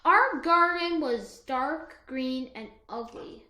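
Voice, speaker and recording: A woman co-reading a children's picture book aloud in an expressive, emphatic way. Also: sits high, slow, reverberant. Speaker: co-reader of a children's story.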